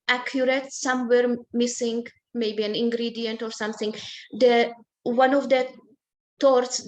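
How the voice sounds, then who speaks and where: An older woman, speaking in a slightly high voice. She talks gently and haltingly, often restarting her sentences as she searches for the words. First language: Hungarian. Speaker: author being interviewed. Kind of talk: personal recollection in a book talk.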